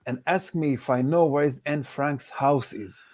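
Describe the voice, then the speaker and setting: A man with an Israeli accent and Hebrew as his first language, doing a deadpan stand-up set over a video call with halting restarts. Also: medium pitch. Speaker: stand-up comedian.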